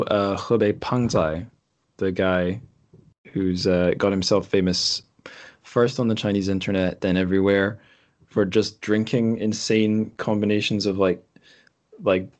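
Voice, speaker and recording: Podcast host, male, low register, informal and conversational, gropes for the exact word. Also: fairly even intonation, moderate pace, a little mellow. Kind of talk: casual podcast chat.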